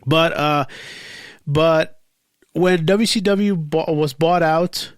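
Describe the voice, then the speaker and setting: A man's voice: a little high, slow, clear, resonant tone, no echo, studio quality. A man in his forties with a stuffy, cold-clogged voice, hosting an unscripted podcast in a rambling, profane, heated way. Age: 40s. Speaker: podcast host. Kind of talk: unscripted casual podcast rant.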